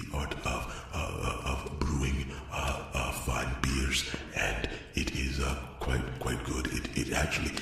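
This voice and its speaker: A man playing an awkward, lonely male dwarf blacksmith in a fantasy ASMR roleplay, rambling earnestly with a halting stammer in a very deep, gravelly voice. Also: full character voice. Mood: proud, halting. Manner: stammering, hesitant, repetitive, earnest. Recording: very echoey, loud noise, distant mic.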